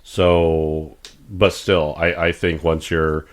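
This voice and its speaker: Very deep-voiced rambling man. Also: slow pace. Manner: rambling, repeats words and restarts, animated when retelling doctors. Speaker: podcast co-host. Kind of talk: casual chat.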